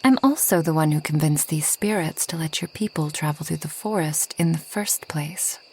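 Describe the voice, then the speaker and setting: A woman playing a reclusive, ageless forest witch in a soft ASMR roleplay, gentle and wry, often slipping toward a whisper. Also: low-pitched, normal speed, a little hiss or hum, poor audio. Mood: soft, wistful. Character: reclusive, centuries-old kind but proud forest witch.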